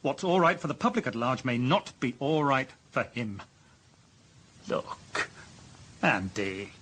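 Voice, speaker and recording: An actor playing an exasperated, authoritative deputy police chief with a British accent, giving stern, sarcastic reprimands in a radio drama. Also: male voice, medium pitch, big pitch swings, takes it slowly, fairly bright tone, slight background noise. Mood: placating, firm.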